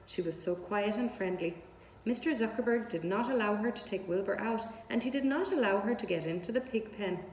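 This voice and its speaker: A woman narrates a children's book in measured, deliberate phrases. Her voice is medium in pitch. It echoes as in a hall, with some faint noise behind it. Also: darkish tone. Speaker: read-aloud storyteller. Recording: roomy hall-like echo, audible background noise.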